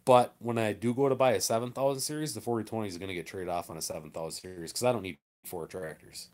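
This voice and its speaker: Male farmer, lower-pitched voice; plainspoken, rambling livestream host. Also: fast talker, darkish tone. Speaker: farmer hosting a live stream. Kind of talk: casual chat, answering viewer comments.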